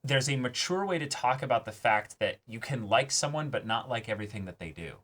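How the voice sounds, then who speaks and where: A man's voice: mid-range voice, expressive, brisk, fairly bright tone. A man co-hosting an anime reaction show, talking through his thoughts in a casual, emphatic, analytical way.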